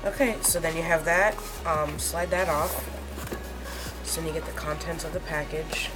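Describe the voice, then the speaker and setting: A woman with a slightly hoarse voice, rambling through a casual unboxing review with lots of fillers. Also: low voice, fairly even intonation, over loud music, rough recording. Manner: rambling, halting, many fillers. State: hoarse, under the weather. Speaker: tech reviewer.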